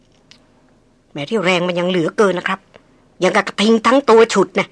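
Woman reading as a calm, steady male jungle hunter. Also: on the low side, no echo, natural voice. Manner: flat, unhurried, matter-of-fact.

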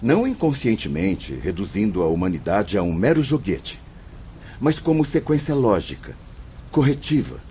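A man's voice: fairly low pitch, sing-song, moderate pace, mellow, slight background noise. A man playing a composed, scholarly spirit instructor in a radio drama, speaking in a formal, declamatory way. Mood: composed, earnest.

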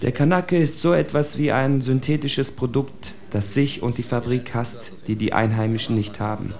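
A man's voice: mid-range voice, steady tone, moderate pace, darkish tone, close to an everyday voice. An actor playing a bitter, analytical spokesman for outcasts, declaiming an articulate literary monologue for radio. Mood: bitter, emphatic. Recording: faint music under the voice. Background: faint music.

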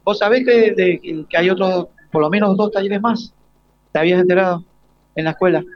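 A man with an Argentine accent and a notably high voice conducts an informal interview. His questions come haltingly, restarting as he goes. The sound is very dry and slightly lo-fi. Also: dry recording. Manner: hesitant, halting questions with repeated starts. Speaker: radio interviewer. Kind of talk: informal live interview with students. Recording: slightly dull, lo-fi live broadcast.

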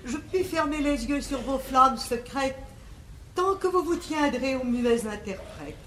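An actress playing a vain, coquettish older spinster aunt in a classical verse comedy, with grand, affected 1950s stage declamation. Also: female voice, high-pitched, expressive, unhurried, a bit bright. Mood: coy, haughty. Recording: echoey, hissy 1950s theatre recording.

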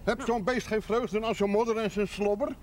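A man's voice: high voice, fairly even intonation, normal speed, slight background noise, close to an everyday voice. An actor playing a jovial, earthy countryman in a television drama, with a folksy, plain-spoken way of talking. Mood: reasoning. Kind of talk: 1970s Dutch television drama acting. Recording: 1970s TV soundtrack, loud music behind.

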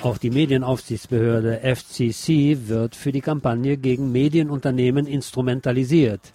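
A man's voice: mid-range voice, mellow, no room sound. A man presenting on radio, reading a written report aloud.

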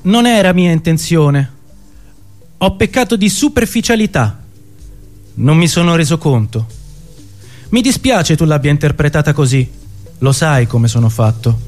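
A man's voice: on the high side, animated, a little slow, long pauses, a little mellow, soft background music. A man performing a dramatic spoken-word recitation in short, separated phrases.

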